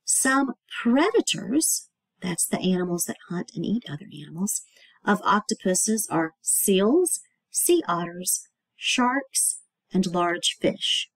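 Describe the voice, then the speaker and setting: Animated, slightly husky woman teaching young children. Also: fairly low pitch, takes it slowly, no echo, low-quality recording. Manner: explanatory, emphatic on key words, asks the listener questions. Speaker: teacher reading a children's book aloud. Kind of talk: read-aloud lesson for young children.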